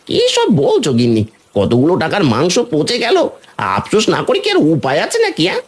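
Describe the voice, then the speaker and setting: A man voicing a scheming, excitable meat seller in a cartoon, exclaiming with a wailing, hawking delivery. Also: on the high side, brisk, breathy edge, heavily acted. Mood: distressed, lamenting. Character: scheming, excitable male meat seller fretting over money. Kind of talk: cartoon voice acting.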